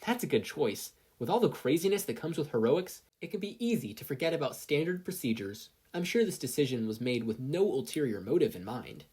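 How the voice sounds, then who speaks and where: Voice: mid-range voice, big pitch swings, brisk, hardly any pauses, warm tone, slightly rough, voice pushed up. A narrator voicing an earnest teenage boy who speaks in a formal, emphatic manner.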